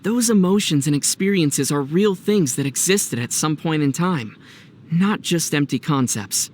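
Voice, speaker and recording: A man playing a youthful, thoughtful, gently teasing adventurer in video game voice acting, with a measured, wry delivery. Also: fairly high pitch, animated, slight background noise. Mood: reflective.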